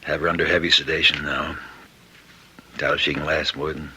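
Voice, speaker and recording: Weary chief doctor in radio play, low raspy voice, sharp n clipped. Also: male voice, level tone, a little fast, exaggerated voice. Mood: grave, clinical. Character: brusque, world-weary male hospital chief of staff. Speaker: actor. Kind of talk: radio drama acting.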